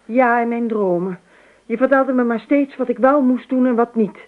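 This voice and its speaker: Female radio-drama role: dreamy, detached wife, played by an actress, dry and teasing, quietly dangerous, on a dull lo-fi recording. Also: fairly high pitch, normal speed, close to an everyday voice. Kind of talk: radio play acting in dialogue.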